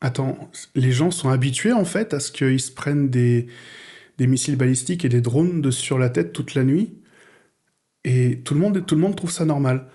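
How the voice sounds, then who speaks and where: A man from France speaking casually as an interview guest, haltingly, repeating words as he searches for phrasing. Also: medium pitch, dark tone. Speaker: guest, audio fiction writer and director.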